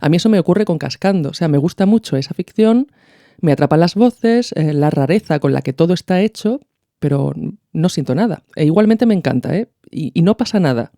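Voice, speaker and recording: A woman's voice: on the low side, animated, a little fast, dark tone, no room sound, studio quality. A woman with a Peninsular Spanish accent hosting a podcast, speaking in a thoughtful, informal, reflective way. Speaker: podcast host. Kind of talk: reflective commentary with a literary reading.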